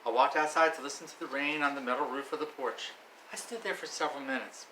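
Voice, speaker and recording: A man's voice: mid-range voice, fast talker, lightly acted. A man doing a folksy campfire uncle. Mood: storytelling. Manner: tall-tale storyteller, swelling into excited play-by-play. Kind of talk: storybook reading. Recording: echoey room with faint noise.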